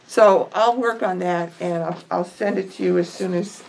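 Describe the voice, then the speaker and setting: A woman's voice: fairly low pitch, moderate pace, gravelly, darkish tone. A woman chairing a committee meeting, direct and businesslike. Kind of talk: running a meeting. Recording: distant mic with faint noise.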